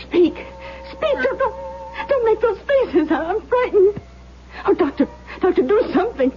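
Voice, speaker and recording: A woman's voice: very high-pitched, very animated, unhurried, full character voice. A woman acting an agitated, pleading, frightened character in a 1970s radio drama. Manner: agitated, imploring. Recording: dull lo-fi 1970s radio broadcast.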